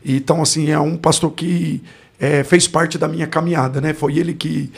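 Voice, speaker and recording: A man's voice: fairly high pitch, fairly even intonation, moderate pace, creaky, breathy edge. A Brazilian man in his forties, a pastor, telling his life story in a podcast interview in a reflective way, often searching for words. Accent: Brazilian Portuguese. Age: 40s. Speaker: pastor and guest.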